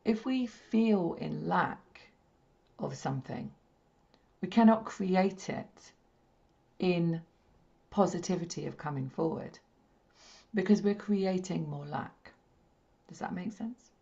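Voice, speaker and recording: A woman with a slightly croaky, hoarse throat, leading a spiritual healing session in a gentle, repetitive, soothing way. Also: fairly low pitch, takes it slowly, pauses often. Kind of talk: slow meditative teaching talk. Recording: dull lo-fi stream audio.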